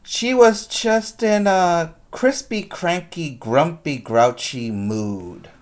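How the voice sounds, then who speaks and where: A teacher reads a children's story aloud at a slow, deliberate pace. The story words get strong emphasis, and simple explanatory asides are spoken to young listeners. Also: medium pitch.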